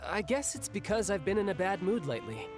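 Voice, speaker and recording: A man's voice: high voice, very animated, fast talker, over music. A man voicing a young, self-assured, teasing fighter in a video game, casual yet dangerous. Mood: reflective. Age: young adult. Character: breezy, cocky young male fighter, playful yet dangerous.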